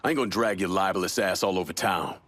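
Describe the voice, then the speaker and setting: A tough, terse crime game antihero with a deep voice. The sound is dry and close, and he is blunt and dismissive. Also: fast talker, a bit bright, no room sound. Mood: gruff, terse. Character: gruff, tough, terse man working against the mob. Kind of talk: video game cutscene acting.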